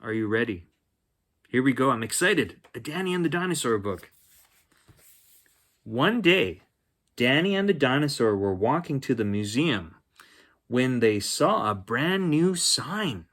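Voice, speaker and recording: A man hosting a children's bedtime story reading, expressive, with chatty asides spoken straight to young listeners. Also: medium pitch, unhurried, long pauses. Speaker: children's story reader and host. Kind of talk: bedtime picture book read-aloud with chatty asides.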